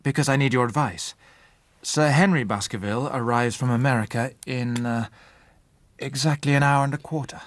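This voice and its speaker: An actor playing an anxious, earnest gentleman seeking help in a radio drama, speaking with urgency. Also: mid-range voice, normal speed, dry recording, natural voice. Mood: anxious, urgent. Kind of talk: British radio drama acting.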